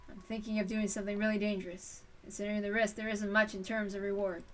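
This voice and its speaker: A woman's voice: medium pitch, flat delivery, fast talker, a bit bright, recorded from a distance, faint music behind, natural voice. A woman voicing a folksy, stubborn older male detective in a story read-aloud. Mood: resolute, brooding.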